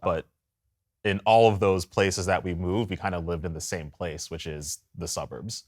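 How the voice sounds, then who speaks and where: A man's voice: low-pitched, steady tone, moderate pace, darkish tone. A man talking in a candid, reflective way as a church discussion panelist. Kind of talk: candid personal sharing.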